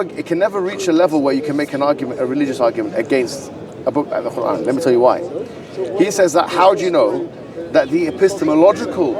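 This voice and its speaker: A man with a London accent arguing forcefully in an outdoor street debate, lecturing and insistent. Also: medium pitch. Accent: London English. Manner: argumentative, lecturing, quick and insistent. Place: outdoor public park with crowd. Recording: distant street recording with crowd around.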